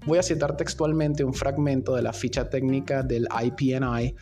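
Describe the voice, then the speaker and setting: A man's voice: mid-range voice, fairly even intonation, moderate pace, mellow. A man hosting a podcast, telling a story in an informal, conversational way with sardonic asides. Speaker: podcast host. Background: music.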